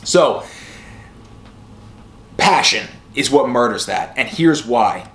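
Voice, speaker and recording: A middle-aged man speaks in an ordinary mid-pitched voice with noticeable room echo around it. He talks casually and candidly, like a podcast host sharing personal experience to motivate listeners. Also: animated, slow, fairly bright tone. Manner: conversational, candid. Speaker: host of a sobriety podcast and video channel. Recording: roomy echo.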